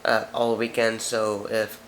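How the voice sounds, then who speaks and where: A man's voice: on the low side, completely flat delivery, measured pace, a bit bright, slight background noise. A man with a stuffy nose hosting a casual tech video, talking through phone specs off camera and correcting himself as he goes. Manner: chatty, reads specs, frequent self-corrections. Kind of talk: casual product walkthrough.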